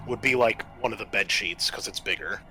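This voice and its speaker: A man's voice: fairly low pitch, fairly quick, creaky, sharp tone, faint music behind, poor audio. A man acting as game master, improvising the narration of a tabletop game.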